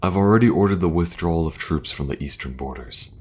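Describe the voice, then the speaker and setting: A man playing a weary, very deep-voiced king. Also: a little fast, husky. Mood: earnest. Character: weary, dutiful male king burdened by duty. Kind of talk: audio drama acting.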